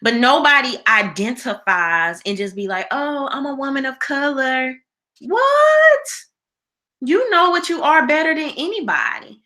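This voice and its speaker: An American woman hosts a casual livestream in a bright, forward voice. She takes her time, speaking conversationally and with emphasis as she gives her opinions and answers viewers. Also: high-pitched, sing-song, measured pace. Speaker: live show host.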